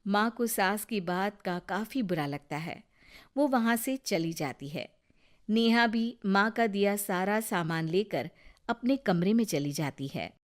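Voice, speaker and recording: Woman narrator, cartoon storybook, calm and even, mid pitch. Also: measured pace.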